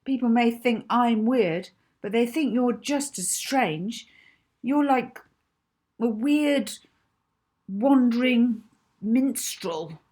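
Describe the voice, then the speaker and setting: Lady doing an angry hurt schoolgirl, loud n high, snappy, accusing, kids audiobook. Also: animated, slow, husky, full character voice. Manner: heated, emphatic, accusing. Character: furious, hurt young schoolgirl, snappy and defiant. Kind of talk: acted children's audiobook dialogue.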